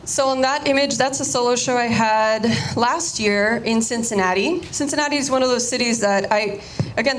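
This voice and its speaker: A woman's voice: a little high, a little hiss or hum, low-quality recording. A Cuban-American woman whose first language was Spanish, giving a casual artist talk and often restarting her sentences. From United States, Cuban-American family.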